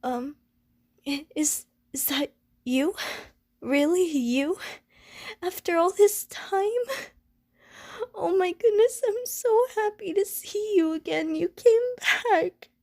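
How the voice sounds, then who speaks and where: A woman playing a gentle, anxious, lonely Medusa who is wary of humans, speaking in careful, formal wording for an ASMR audio roleplay. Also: very high-pitched, animated, slow pace, pauses often, no room sound, clean studio sound, full character voice. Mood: tearful joy. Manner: formal, careful, stilted wording, pleading and apologetic.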